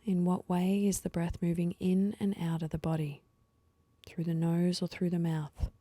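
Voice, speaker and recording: A woman leading a guided meditation with slow, soothing instructions and pauses, her voice soft and at times breathy. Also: lowish voice, little pitch movement, no room sound.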